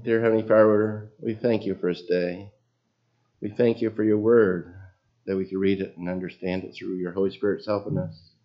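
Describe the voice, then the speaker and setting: A man with a very low voice leading prayer and giving an informal sermon in a small church, reading scripture and losing his place now and then. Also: level tone, normal speed, highs cut off. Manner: folksy, loses his place and apologizes. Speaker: lay preacher. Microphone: PA microphone.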